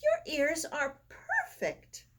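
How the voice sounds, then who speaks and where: A woman's voice: high-pitched, huge pitch swings, extremely slow, heavy background noise, pitched above the performer's own voice, heavily acted. A woman voicing a caring, encouraging male pig from a children's storybook, earnest and reassuring.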